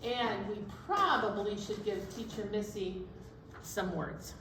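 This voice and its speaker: A woman's voice: medium pitch, slow pace. A woman co-hosting a children's storytime, chatting casually and encouragingly to young listeners as she explains what comes next. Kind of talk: casual instructive chat with children. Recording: echoey room, distant mic, background noise.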